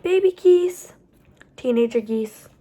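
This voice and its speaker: Woman storytime reader for young children: high, very lively delivery, explanatory asides, slight background noise. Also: slow. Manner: animated, explains pictures and words as she goes. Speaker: storytime host reading picture books aloud. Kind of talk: expressive read-aloud for young children, with asides to the listeners.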